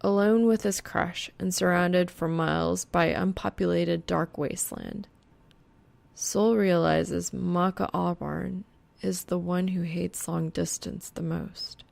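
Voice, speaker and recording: Female audiobook narrator, lowish creaky voice, unhurried and measured, very close dry sound. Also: steady tone, darkish tone, no echo. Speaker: fan fiction audiobook reader. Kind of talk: scripted narration of a romantic story.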